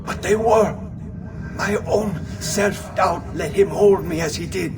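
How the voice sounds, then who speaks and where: A man's voice: high voice, slow, over music, full character voice. An actor playing an anguished, remorseful man broken by guilt and self-doubt in a video game. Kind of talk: video game cutscene acting. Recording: clear background noise.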